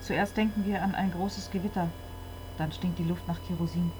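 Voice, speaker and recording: An actress playing an eyewitness in a radio drama, recounting in plain, observant statements. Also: female voice, fairly low pitch, steady tone, moderate pace, dark tone, slight background noise, close to an everyday voice. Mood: awed, observing. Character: female eyewitness of a night-time disaster. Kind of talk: radio drama narration, present tense. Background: faint music.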